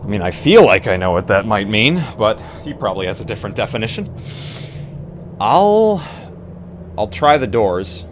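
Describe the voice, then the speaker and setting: A man with a very deep voice talks through his moves in a tabletop game. He is casual and halting, working it out as he goes. Noise is clearly audible behind him. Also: very animated. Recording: live stream with clear background noise.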